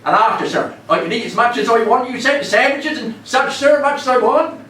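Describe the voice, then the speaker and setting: An actor playing a cheery, deferential homeless wanderer of about seventy in a stage monologue, with a rural Hampshire accent and a halting, rambling way of talking. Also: male voice, very high-pitched, lively intonation, sharp tone, full character voice. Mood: humble, eager. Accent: rural southern English, Hampshire. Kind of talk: theatrical dramatic monologue. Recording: echoey room, distant mic, faint noise.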